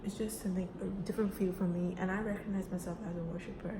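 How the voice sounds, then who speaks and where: Young woman from the UAE, relaxed class talk, full of fillers, slightly low voice, recorded in a noisy echoing room. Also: very fast. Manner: casual, chatty, with frequent fillers like "right?" and "you know". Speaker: student giving a class speech. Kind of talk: personal self-introduction speech. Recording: echoey room with background noise.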